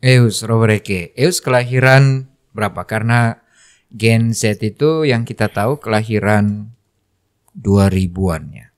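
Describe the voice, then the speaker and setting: Low-voiced man hosting a casual chat. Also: measured pace. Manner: probing, rephrases questions, many fillers. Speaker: host. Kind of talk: casual talk show hosting. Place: studio.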